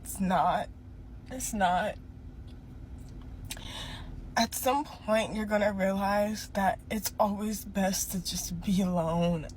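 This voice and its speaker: A woman's voice: medium pitch, slow pace, pauses often, rough recording. Weary woman with faint traffic behind.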